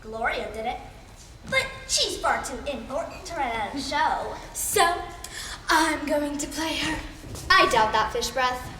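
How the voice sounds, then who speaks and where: A woman's voice: high-pitched, expressive, unhurried, sharp tone, full character voice. A young female performer playing catty, giddy soap opera fanatics in an exaggerated comedic school skit. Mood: catty, boastful. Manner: exaggerated, theatrical. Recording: echoey hall, faint noise.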